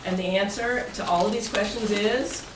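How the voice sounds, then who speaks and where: A woman's voice: medium pitch, moderate pace. Chatty woman presenting in an echoey room. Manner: chatty and playful, jokes and invites audience answers. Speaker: club president giving an overview. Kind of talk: informal presentation to a club meeting. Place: meeting room, voice picked up at a distance. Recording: echoey noisy meeting room, distant mic.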